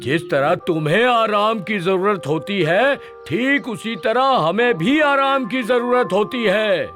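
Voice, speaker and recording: A man voicing a stern but fatherly talking ceiling fan in a children's cartoon, with a theatrical, drawn-out delivery. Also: sits high, animated, fairly bright tone, soft background music, exaggerated voice. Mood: fatherly, advising.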